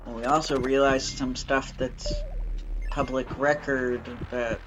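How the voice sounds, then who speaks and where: A man's voice: mid-range voice, flat delivery, slow pace, background noise. A man with a nasal, stuffy voice from allergies, chatting casually and a bit apologetically as a let's play host at home. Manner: rambling, apologetic, chatty with fillers. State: chest bothered from bonfire smoke. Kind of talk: casual chat while gaming. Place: home basement. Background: game music.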